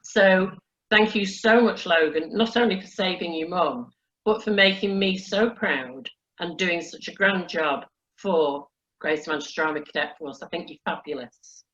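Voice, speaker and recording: Effusive low-voiced woman on dull audio. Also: measured pace, a bit bright. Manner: effusive, encouraging. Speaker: honorary colonel hosting a presentation. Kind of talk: warm congratulatory ceremonial chat.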